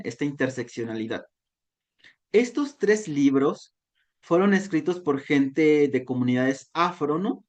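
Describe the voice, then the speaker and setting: A Mexican man who grew up speaking Nahuatl gives a thoughtful online lecture, checking in with listeners through a steady "¿no?". His voice sits a little high for a man. The recording sounds dull and muffled. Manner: reflective, tags sentences with "¿no?". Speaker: volunteer talk facilitator.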